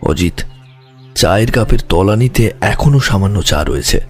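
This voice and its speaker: A man playing a calm, observant detective in an audio drama, questioning in a measured, deliberate way. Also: fairly low pitch, slightly rough, faint music behind. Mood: thoughtful. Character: calm, probing male detective, sharply observant.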